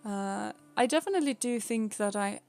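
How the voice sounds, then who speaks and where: A woman's voice: medium pitch, expressive, normal speed, creaky, a little mellow, faint music behind. A young woman from Sweden with a Swedish accent, chatting casually with viewers on a live stream, laughing often and explaining things patiently.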